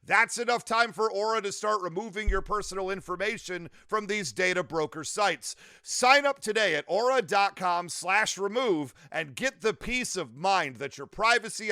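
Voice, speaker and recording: A man's voice: high voice, sharp tone, no echo, clean studio sound. A man giving a scripted podcast advertisement read in an emphatic, persuasive manner. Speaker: ad reader.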